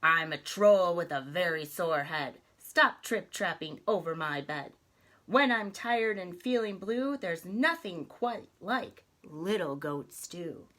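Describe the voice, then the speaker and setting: A woman voicing a grumpy, rude male troll from a storybook, loudly making threats in rhyme. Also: lowish voice, lively intonation, slow pace. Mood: grumpy, threatening. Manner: loud, rhyming, threatening delivery.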